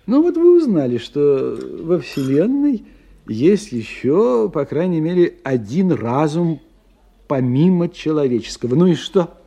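Mellow, dryly philosophical scientist. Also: male voice, fairly high pitch, sing-song, measured pace, exaggerated voice. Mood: probing. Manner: dry, precise, detached. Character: sceptical, aloof male scientist, dryly philosophical. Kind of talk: radio play dialogue. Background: music.

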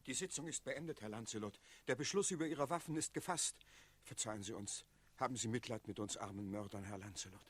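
A man's voice: medium pitch, fairly quick, fairly bright tone, slightly rough. A man playing an ashamed, apologetic town messenger in a 1960s German radio play.

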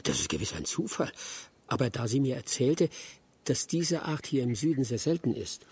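An actor playing a dutiful, cautious aide in a radio drama, with a breathy, husky voice and careful, halting delivery. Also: male voice, mid-range voice, big pitch swings, a little mellow, exaggerated voice. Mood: earnest.

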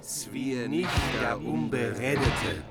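A man delivers old verse like a bard. His medium-pitched voice goes slowly and formally. Loud music accompanies him throughout. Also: lively intonation. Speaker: reciter of medieval verse. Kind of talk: archaic verse recital to music.

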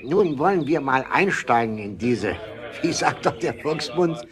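A man's voice: a little high, expressive, measured pace, a crowd in the background. A man acting a fussy, officious and blustering government official in a German radio play. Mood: jovial, excited. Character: fussy male government official, officious, then eager passenger.

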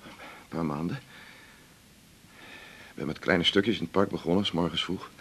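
A man's voice: deep voice, moderate pace, a bit bright, husky, exaggerated voice. A man acting a restless, self-absorbed doctor in a radio drama, musing and emphatic with a slightly theatrical touch. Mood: determined. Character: restless, self-absorbed male doctor, earnest about marathon running.

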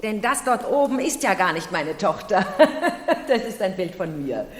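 An actress playing a vain, excitable middle-aged marchesa in a German radio play, theatrical and quick to take offence. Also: female voice, mid-range voice, close to an everyday voice. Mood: indignant. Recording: echoey hall with long reverb.

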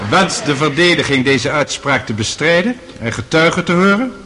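An actor playing an authoritative male judge in a radio courtroom drama, formal and measured. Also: a little high, lively intonation, sharp tone. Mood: official, declaratory.

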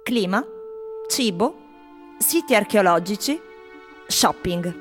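A woman playing foreign tourists in a scripted radio documentary theatre piece, reciting their answers in the first person with clear phrasing. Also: medium pitch, animated, takes it slowly. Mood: matter-of-fact. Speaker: actress voicing translated interview answers. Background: music.

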